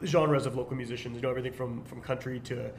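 A man's voice: medium pitch, fast talker, a little hiss or hum. A man hosting a local music podcast, talking casually with many fillers while losing his voice. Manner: relaxed, rambling with frequent fillers. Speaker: podcast host. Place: basement.

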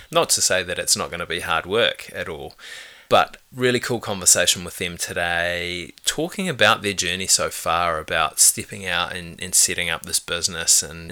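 A man's voice: low-pitched, sharp tone, clear, resonant tone. A man hosting a podcast, talking in an easygoing, rambling way as he introduces his guests. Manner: easygoing, rambling with small restarts. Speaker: podcast host. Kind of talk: relaxed podcast interview.

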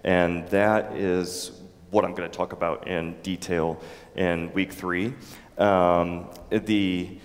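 A man teaching a theology class, talking in a halting way with many ums and restarts before taking questions. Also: deep voice, little pitch movement. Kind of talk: theology lecture with audience questions. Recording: echoey hall with long reverb.

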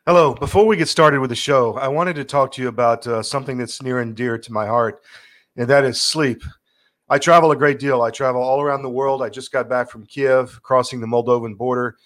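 A middle-aged man hosts a podcast in a conversational way. His voice sits at an ordinary male pitch, and he speaks informally, as if talking directly to the listener. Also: fairly quick, fairly bright tone. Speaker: podcast host. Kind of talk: conversational host talk and personal ad read.

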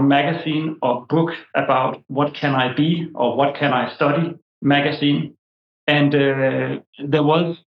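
Guest: Danish-accented man, 40s, halting academic storyteller; roomy, muffled sound. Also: on the high side. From Denmark. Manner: hesitant, many fillers and restarts. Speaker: guest, anthropology professor. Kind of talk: interview answers, storytelling.

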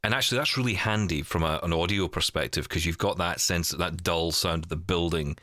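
A man's voice: sits low, brisk, fairly bright tone, no room sound, studio quality. A man in casual, reflective conversation, explaining things in a rambling way with tag questions. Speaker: podcast co-host.

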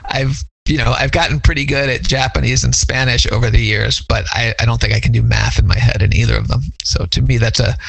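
A man's voice: medium pitch, steady tone, brisk, gravelly, hardly any pauses, fairly bright tone, breathy edge, dry recording, low-quality recording. A man interviewing a colleague, asking thoughtful questions with frequent restarts. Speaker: interviewer, legal language scholar. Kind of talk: conversational interview.

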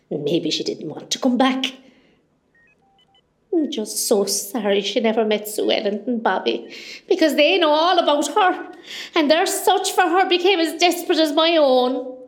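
A woman playing an elderly, wistful Irish mother from Cork in an amateur hospital radio play. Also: high voice. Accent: Irish, County Cork. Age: older.

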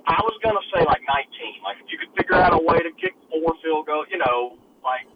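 Male caller, lively halting delivery, muffled noisy phone line. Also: on the high side. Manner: halting, repeats words, animated. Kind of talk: sports radio call.

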